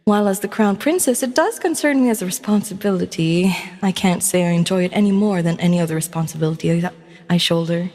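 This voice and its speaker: A woman voicing a gentle, dutiful young crown princess in a fantasy audio story, with formal, refined courtly phrasing. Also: fairly low pitch, fast talker, in a large hall, natural voice. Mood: weary, dutiful.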